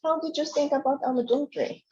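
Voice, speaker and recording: A woman gives a scripted, formal presentation, guiding viewers slide by slide. Her voice is soft and mellow, and the recording sounds slightly dull and lo-fi. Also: high-pitched, fairly even intonation, fast talker. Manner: polite, formal, reading from slides. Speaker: university staff presenter. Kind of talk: scripted presentation for a study fair.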